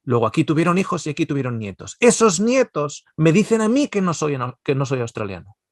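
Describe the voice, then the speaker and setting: A middle-aged man from the Basque Country in Spain, speaking with a Spanish accent and giving an animated, digressive seminar talk full of restarts. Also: on the high side, a little fast, dry recording, studio quality.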